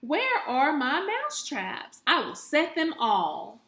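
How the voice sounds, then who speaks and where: A kindly storybook grandmother, played by a woman reading aloud, forgetful and cheerful. She muses and exclaims to herself at a slow pace, pitched fairly high. The room around her is echoey. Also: huge pitch swings, bright, forceful tone, full character voice. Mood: alarmed.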